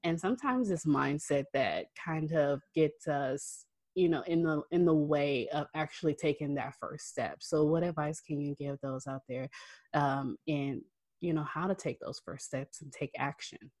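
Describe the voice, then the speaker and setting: A woman's voice: low-pitched, a little slow, a little mellow, no echo. A woman hosting a podcast, chatting enthusiastically with her guest and laughing often. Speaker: podcast host. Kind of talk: casual interview chat.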